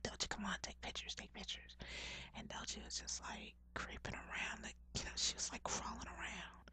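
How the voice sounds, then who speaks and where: A young woman whispers her way through a rambling, casual chat, full of "like" and offhand swearing. Her voice sits high and bright, and it swings widely even under the whisper. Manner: whispering, rambling, slangy with filler "like"; swears casually, laughs at herself. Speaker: vlogger. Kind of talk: whispered casual chat about pet frogs over photos.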